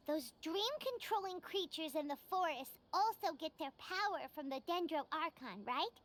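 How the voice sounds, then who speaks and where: A woman voicing a tiny, chirpy, excitable floating girl companion in a video game, who talks about herself in the third person. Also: slight background noise. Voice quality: squeaky.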